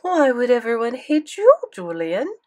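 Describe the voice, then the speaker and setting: A woman's voice: on the high side, big pitch swings, a little slow, darkish tone, voice pushed up. A woman voicing an elderly French grandmother with a thick French accent, doting and inquisitive but commanding. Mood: insistent. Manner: inquisitive, imperious.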